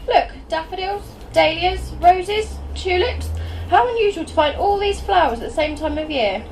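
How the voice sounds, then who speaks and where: A woman's voice: extremely high pitch, animated, normal speed, a little mellow. A woman playing a sweet, shy, naive young stepdaughter in an amateur pantomime, with polite, innocent delivery. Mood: cheerful. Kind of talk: amateur pantomime stage acting. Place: small indoor venue before an audience. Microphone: far camera. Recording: distant camera, faint background noise.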